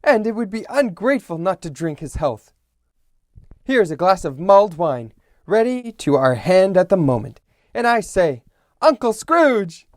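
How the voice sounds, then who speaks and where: A man's voice: sits high, very animated, a little slow, dry recording, voice pushed up. A man doing a hearty young nephew. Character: jovial young nephew proposing a toast. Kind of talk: audiobook character voice.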